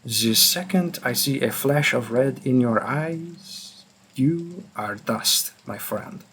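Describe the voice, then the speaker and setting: A man voicing a centuries-old vampire who hunts his own kind, grim and deliberate, in a scripted roleplay monologue addressed to the listener. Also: mid-range voice, expressive, fairly bright tone, slight background noise. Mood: wary, threatening. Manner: slow, deliberate, pausing, darkly confiding.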